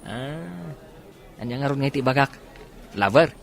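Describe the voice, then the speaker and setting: A man's voice: medium pitch, expressive, moderate pace, gravelly. A man with an Ilocano accent playing a nosy, playful buddy in a comedy radio drama. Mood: teasing. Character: teasing, joking male buddy, nosy and playful.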